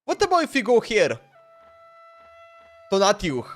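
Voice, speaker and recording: Higher-voiced man casually streaming a game. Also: huge pitch swings, unhurried. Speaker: live streamer. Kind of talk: casual gameplay commentary. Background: faint game music.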